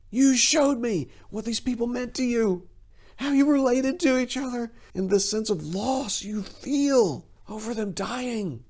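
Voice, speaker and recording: An unearthly being from a horror tale, acted out by a male reader, distraught and remorseful yet chillingly calm. The speech is halting, earnest and formal, with big swings in pitch. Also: heavily acted. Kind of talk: dramatic reading of a horror story.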